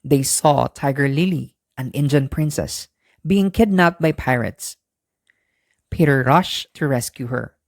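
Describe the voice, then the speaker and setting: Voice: mid-range voice, a little slow, creaky, no echo, clean studio sound. A storyteller narrating a scripted bedtime story for children.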